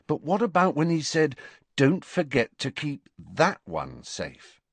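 A man's voice: a little high, wildly swooping pitch, unhurried, slightly rough, no echo, voice pushed up, exaggerated voice. A man voicing an earnest, stubborn teenage boy wizard in an audiobook, insistent and emphatic.

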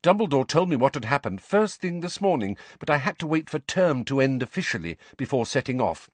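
A man voicing a brisk, bossy, clever teenage schoolgirl in an audiobook, airy and impatient in manner. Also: medium pitch, big pitch swings, no room sound, pitched above the performer's own voice. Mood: airy, concerned.

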